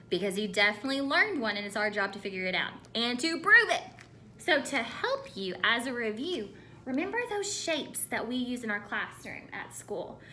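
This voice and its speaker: Animated, bright, high-voiced woman teaching children. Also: slight background noise. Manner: animated, instructive, asks listeners questions. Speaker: elementary school teacher. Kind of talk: read-aloud lesson for children.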